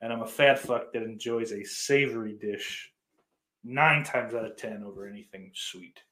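A man's voice: fairly low pitch, normal speed, bright, forceful tone. A man livestreaming in casual chat, rambling and swearing freely while reading out messages from his viewers. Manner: profane, rambling, self-deprecating. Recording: roomy echo.

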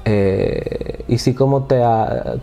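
A man with a mellow, relaxed voice leads a casual conversation, stumbling and restarting as he thinks aloud. He keeps tossing in little tag questions. Faint music runs underneath. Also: mid-range voice, slow pace. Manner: hesitant, many restarts and "¿no?" tags. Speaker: host. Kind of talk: casual conversation about poetry.

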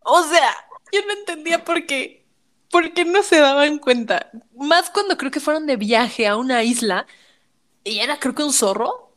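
Mexican woman cohost, high voice, animated and laughing a lot. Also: normal speed. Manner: lively, laughs, slips into impressions. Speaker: podcast co-host. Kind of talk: casual chat about childhood cartoons.

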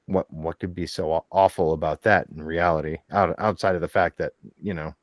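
A podcast host with a very low, deep male voice talks in a measured, thoughtful way. The sound is dry and close, with no room echo. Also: fairly even intonation. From Pittston, Pennsylvania. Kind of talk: casual podcast chat.

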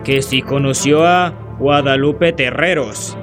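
An actor playing a brusque, insistent soldier in an audio drama. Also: male voice, medium pitch, takes it slowly, music in the background, close to an everyday voice. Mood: brusque, impatient. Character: brusque, barking male soldier under a colonel.

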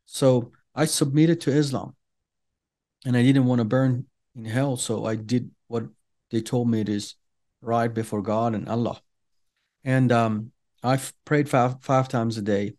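An earnest middle-aged man from Iran, a native Farsi speaker, telling his own story as an interview guest. His voice is mellow and warm, set at an ordinary pitch for a man. He speaks deliberately, with frequent fillers and restarts. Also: steady tone. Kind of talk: personal testimony in an interview.

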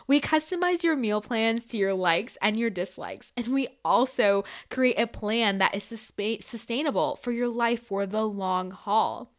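A woman's voice: a little high, expressive, unhurried, hardly any pauses, no room sound, studio quality. A woman hosting a podcast, presenting and interviewing. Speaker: podcast host.

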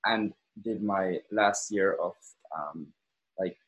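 A man's voice: sits low, takes it slowly, breathy edge, lo-fi sound. An eighteen-year-old man from Finland, a podcast guest talking casually with lots of ums and hesitations. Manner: relaxed, many ums and hesitations. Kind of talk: casual interview chat.

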